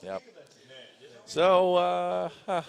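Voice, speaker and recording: A man working as an esports commentator, riffing in casual, animated, joking banter with a co-host. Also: high voice, drawn-out words, creaky.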